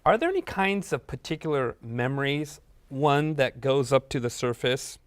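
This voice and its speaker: A man's voice: mid-range voice, very animated, moderate pace, smooth, no room sound. A man hosting a faith interview, affirming his guests' answers and asking follow-up questions. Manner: encouraging, affirming. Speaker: host.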